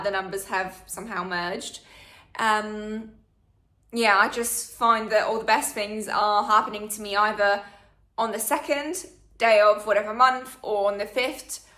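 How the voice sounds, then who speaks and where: A woman's voice: mid-range voice, bright, forceful tone, roomy sound. A woman, chatting through a Q&A for her channel with plenty of fillers. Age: 20s. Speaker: vlogger. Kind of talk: casual Q&A chat.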